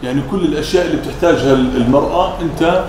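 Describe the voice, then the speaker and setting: A man's voice: a little high, rapid-fire, darkish tone. A man with a Levantine Arabic accent hosting a business interview, polite and effusive with his guest. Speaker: interviewer, show host. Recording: roomy, echoing, clearly noisy background.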